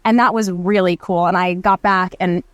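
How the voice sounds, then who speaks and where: A woman's voice: on the low side, steady tone, moderate pace, dry recording. Woman hosting a casual chat. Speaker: podcast host.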